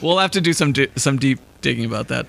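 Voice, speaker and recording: A man's voice: mid-range voice, expressive, quick pace, vocal fry, background noise. A man from the Boston area, co-hosting a podcast in casual chat, hesitant and restarting often as he explains his opinions. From Massachusetts, outskirts of Boston. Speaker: podcast co-host.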